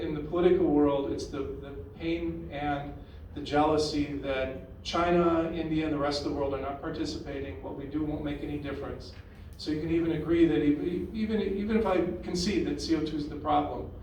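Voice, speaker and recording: A man's voice: fairly high pitch, darkish tone, slight background noise. A man hosting a town hall meeting, talking in a conversational, opinionated way with frequent restarts. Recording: echoey room, far from the mic.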